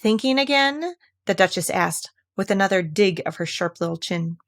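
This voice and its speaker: Creaky-voiced woman reading a storybook. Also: fairly low pitch, flat delivery, normal speed, fairly bright tone. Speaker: audiobook reader. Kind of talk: scripted storybook narration.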